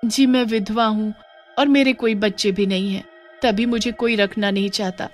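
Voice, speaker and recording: A woman's voice: medium pitch, fairly even intonation, moderate pace, pitched above the performer's own voice, natural voice. A woman playing a meek, polite village widow in a horror story, with a pleading, deferential manner. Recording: music under the voice.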